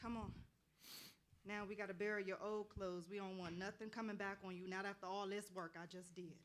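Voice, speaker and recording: A woman's voice: mid-range voice, little pitch movement, brisk, a little hiss or hum, close to an everyday voice. A woman playing a commanding, devout folk healer with colloquial talk in a staged play reading. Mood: calm, intimate.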